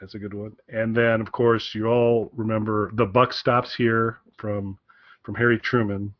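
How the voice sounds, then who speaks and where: A man's voice: on the low side, level tone, dry recording. Man podcasting in a dry, slightly dull recording. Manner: rambling, halting, repeats phrases. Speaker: podcast co-host. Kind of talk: casual podcast recommendation chat.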